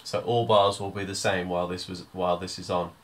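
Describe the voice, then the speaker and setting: A man giving a hands-on music software tutorial, explaining step by step in an informal, hesitant way. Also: deep voice, level tone, fairly quick.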